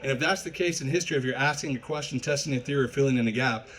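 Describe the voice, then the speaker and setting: A man's voice: medium pitch, very fast, a bit bright. A man speaking as a college history instructor, putting a wordy, earnest question in a live debate.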